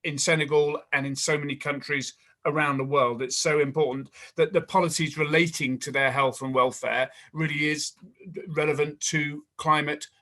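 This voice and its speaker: A man's voice: fairly high pitch, level tone, moderate pace, bright, forceful tone. A man moderating an online panel, thanking speakers and introducing the next presenter politely, with a few restarts.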